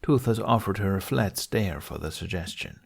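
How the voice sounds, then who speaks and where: A man's voice: low voice, animated, normal speed, creaky, slightly rough. A man reading a scripted fantasy story as an audiobook narrator.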